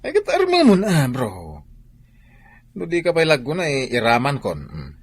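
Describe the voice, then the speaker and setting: A male actor with a Filipino accent playing a cocky, playful young soldier in a radio drama, bantering in a husky voice. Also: fairly high pitch, normal speed, exaggerated voice. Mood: teasing banter. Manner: animated, bantering.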